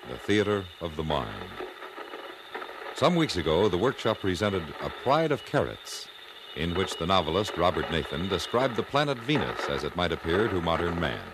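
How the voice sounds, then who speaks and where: A man giving a formal, measured, scripted introduction as a 1950s radio announcer. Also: low voice. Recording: noisy 1950s radio broadcast.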